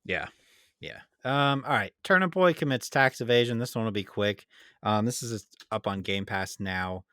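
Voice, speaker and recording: A man's voice: fairly low pitch, normal speed, bright, forceful tone, no room sound, studio quality. A man co-hosting a gaming podcast, giving casual, conversational opinions on games. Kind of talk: casual chat and informal game review.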